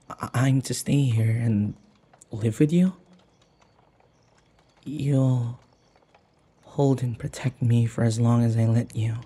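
A timid, submissive young man, played by a male voice actor in an intimate audio roleplay. His voice is very husky and breathy, with a soft, mellow tone and some creak. He speaks slowly and haltingly, stammering as he goes. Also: mid-range voice. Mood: bewildered, meek.

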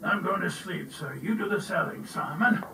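Man doing a booming old baker. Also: moderate pace, creaky, pushed and bright, roomy sound, far from the mic, slight background noise, heavily acted. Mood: friendly. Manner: barking exclamations.

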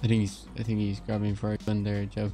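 A man's voice: fairly low pitch, completely flat delivery, fast talker, over music. A man joking and teasing as a police officer in improvised online game roleplay, swearing freely.

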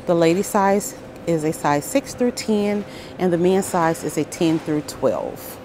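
A woman's voice: lowish voice, unhurried, background noise. A woman filming a shop-with-me video in a large store, upbeat, reading out each item and its price with little exclamations of delight. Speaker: shopping vlogger. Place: aisles of a large grocery store, indoors. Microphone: handheld phone camera.

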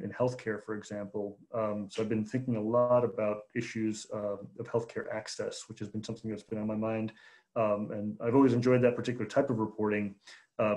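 A man's voice: on the low side, darkish tone, rough recording. A man in his forties from Chicago, talking as a guest on a video call interview, thoughtful with many fillers and restarts. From Chicago, USA. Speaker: guest, newspaper opinion editor. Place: home room. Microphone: laptop on video call.

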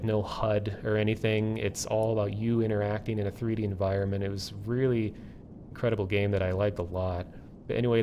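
A man chatting casually as a streamer, rambling and halting as he thinks aloud. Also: darkish tone. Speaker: streamer and online community host. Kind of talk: casual livestream chat. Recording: low rumbling background noise.

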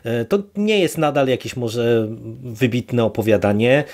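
A man with a medium-pitched voice talks as a podcast co-host. He reviews casually and hesitantly, working out his opinion as he speaks. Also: very animated, measured pace, a little mellow.

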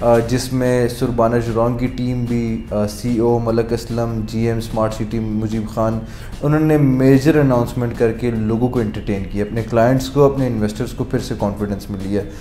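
A man's voice: medium pitch, flat delivery, moderate pace, dark tone, slight background noise. A man gives a casual update to camera from his office, mixing English phrases and fillers into his talk. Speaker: real estate presenter. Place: office, indoors. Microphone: lapel mic. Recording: roomy office echo, faint music.

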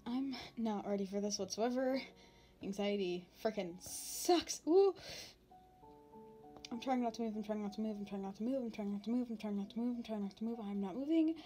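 A woman playing a playful, anxious young demon princess in an ASMR roleplay, talking casually and haltingly with a giggly manner. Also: mid-range voice, warm tone, faint music behind, lightly acted. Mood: nervous. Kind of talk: ASMR roleplay, unscripted-sounding casual chat.

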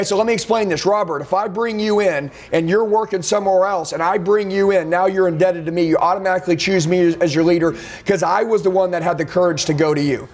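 A middle-aged man coaching salespeople, blunt and intense, acting out recruiting conversations as examples. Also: sits high. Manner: intense, blunt, preachy with role-play. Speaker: sales trainer. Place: dark studio office with neon sign.

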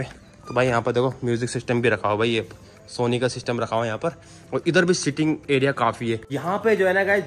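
A man's voice: medium pitch, a bit bright. A man in his thirties giving a chatty walking tour for his vlog, speaking into a handheld selfie camera. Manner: chatty, says "guys" and "bhai" constantly. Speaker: vlogger. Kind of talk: casual travel vlog tour. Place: outdoors on a scooter and walking through a cafe garden.

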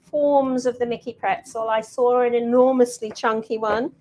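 A woman's voice: on the high side, steady tone, moderate pace, darkish tone, low-quality recording. An older woman hosting a live cooking demonstration from her home kitchen, chatty and self-mocking. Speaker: cooking show chef. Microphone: fixed camera mic across the counter.

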